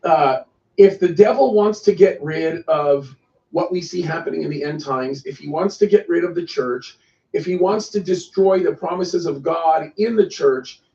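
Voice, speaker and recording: High, gravelly-voiced male host. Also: moderate pace, warm tone. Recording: echoey, distant, dull lo-fi sound.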